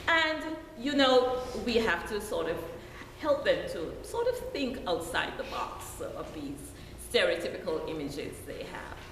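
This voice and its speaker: Woman, Jamaican accent, middle-aged, deacon's sermon, slow deliberate delivery, booming church echo. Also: huge pitch swings, fairly bright tone. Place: church sanctuary. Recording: echoey church hall with faint noise.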